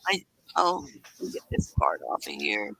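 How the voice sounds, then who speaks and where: A woman hosting a casual livestream in a raspy voice, sick with a scratchy throat and talking in a rambling, halting way. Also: very deep voice, wildly swooping pitch, bright, forceful tone. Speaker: livestream host.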